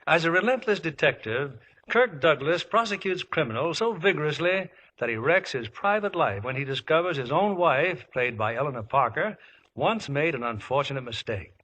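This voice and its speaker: A male host of 1950s radio. His voice is fairly high and bright, with a forceful, polished delivery of scripted lines. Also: lively intonation, normal speed, rough recording.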